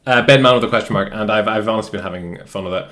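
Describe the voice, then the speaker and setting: A man's voice: on the low side, rapid-fire, fairly bright tone, slight background noise. A man in his late twenties hosting a game stream, chatting casually, laughing often and swearing freely. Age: late 20s. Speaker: streamer, host. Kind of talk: casual chat while gaming.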